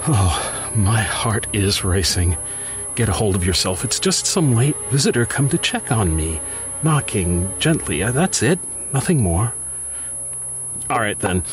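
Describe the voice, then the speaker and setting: An actor playing a grief-stricken, bookish widower who mutters anxiously to himself in a theatrical old-time radio horror monologue. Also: male voice, fairly low pitch, sing-song, measured pace. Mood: nervous. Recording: music under the voice. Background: prominent music.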